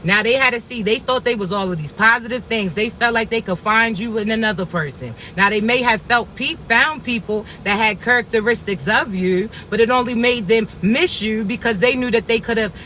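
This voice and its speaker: A man with a raspy voice giving a slow, reassuring spiritual message to viewers, often checking in with "all right?". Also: high-pitched, pushed and bright, a little hiss or hum, low-quality recording. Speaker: spiritual reader.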